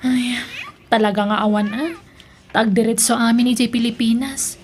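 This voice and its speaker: Woman acting high-voiced young Filipina, devoted and defensive. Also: steady tone, moderate pace, slight background noise, lightly acted. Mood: apologetic. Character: devoted young woman working abroad, defensive little sister. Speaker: actor in audio drama. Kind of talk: Ilocano radio drama acting.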